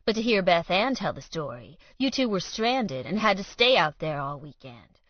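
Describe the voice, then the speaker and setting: A woman voicing a smug, spiteful man who needles his rival in loud, quick taunts. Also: lowish voice, sing-song, dry recording. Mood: taunting. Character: sneering jealous male councilman, smug and spiteful. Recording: dull lo-fi dry read.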